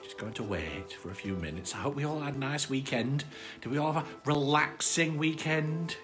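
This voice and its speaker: A man's voice: fairly high pitch, normal speed, music in the background, lightly acted. A man with a British accent voicing a friendly, chatty armadillo puppet who hosts a cosy children's bedtime story show. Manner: cosy, chatty, repeats phrases. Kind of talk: casual children's livestream chat.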